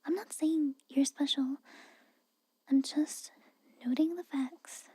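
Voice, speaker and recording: A woman playing a guarded, haughty but secretly caring castle maid in a whispered ASMR roleplay, halting and grudging, close to the microphone. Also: sits high, fairly even intonation, no echo. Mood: grudgingly respectful. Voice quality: soft, breathy whisper. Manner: hesitant, halting, grudging, cautioning.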